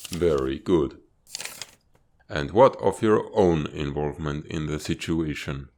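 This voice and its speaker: A man voicing a stern reptilian spymaster in a comic dub, speaking in a formal, measured, authoritative way. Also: very deep voice, darkish tone, exaggerated voice. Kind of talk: dramatic fan-dub comic acting.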